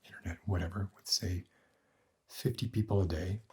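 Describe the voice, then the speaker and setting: A man playing a morbid, cynical doctor in an ASMR roleplay, lecturing in a pedantic, deliberate and bleak way with a husky voice. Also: fairly even intonation, darkish tone. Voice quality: husky, breathy, low. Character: morbid, cynical male doctor treating depression with despair.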